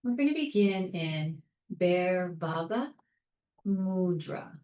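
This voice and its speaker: A woman leading a guided meditation in a calm, soothing, measured way, leaving long pauses between short phrases. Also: lowish voice, echoey room. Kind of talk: guided meditation, soft instruction.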